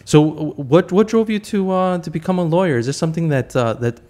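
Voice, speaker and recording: A man's voice: a little high, brisk, warm tone. A man in his thirties hosting a podcast from home, eager and friendly, often stumbling and repeating words as he asks questions. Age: 30s. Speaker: podcast host. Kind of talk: casual interview chat.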